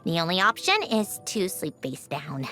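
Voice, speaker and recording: A woman's voice: on the low side, animated, moderate pace, pushed and bright, soft background music. A woman voicing a prim, wry clockwork marionette in a video game, composed and formal, dryly teasing, aloof but fond. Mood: wry, confiding. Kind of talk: scripted video game character voice lines.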